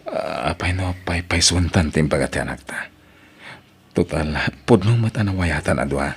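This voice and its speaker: Deep Ilocano lovestruck father, played by a man. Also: normal speed, gravelly, slightly rough, exaggerated voice. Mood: coaxing. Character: eager, lovestruck father named Greg. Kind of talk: Ilocano audio drama acting.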